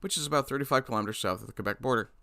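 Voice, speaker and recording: A man's voice: mid-range voice, rapid-fire, creaky, bright, forceful tone, dry recording, clean studio sound. A man hosting a casual livestream, bluntly commenting on news and reading chat, often swearing as he rants. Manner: blunt, profane, ranting.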